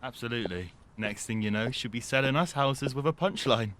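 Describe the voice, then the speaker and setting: Clear background noise sits on this audio drama recording. An easygoing teenage skater boy, acted by a young man talks in a medium-pitched voice. His manner is casual and conversational, a little reflective. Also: animated, a little fast, fairly bright tone, close to an everyday voice. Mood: gossipy, amused. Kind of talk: scripted audio drama dialogue. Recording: clearly noisy amateur recording.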